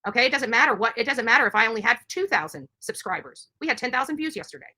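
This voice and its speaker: A woman speaks at a rapid clip in a bright, forceful voice. She is a live streamer on an indignant rant, hammering points home by repeating them. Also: fairly high pitch, lively intonation. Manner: emphatic, indignant, repeats herself.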